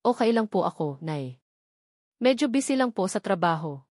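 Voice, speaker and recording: A woman's voice: medium pitch, sing-song, moderate pace, darkish tone, dry recording, clean studio sound. A woman narrator voicing an anxious young woman, polite, hesitant and evasive, with a Filipino accent, in an audio story. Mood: nervous, polite.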